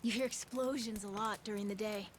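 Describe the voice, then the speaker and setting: A woman's voice: medium pitch, steady tone, a little fast, a little hiss or hum, natural voice. A woman voicing a restless, eager young island boy with an American accent and casual speech in a video game cutscene. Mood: casual, explaining. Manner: casual, youthful talk. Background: game music.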